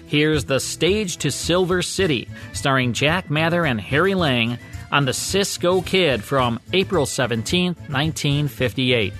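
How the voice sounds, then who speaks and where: A man's voice: a little high, lively intonation, unhurried, fairly bright tone, faint music behind. A man with an American accent reading scripted narration as a radio host.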